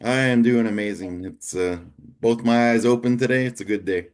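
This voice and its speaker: A man's voice: medium pitch, normal speed. A man in his forties hosting a podcast, talking in an easygoing, candid way. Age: 40s. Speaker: podcast host. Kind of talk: casual interview chat.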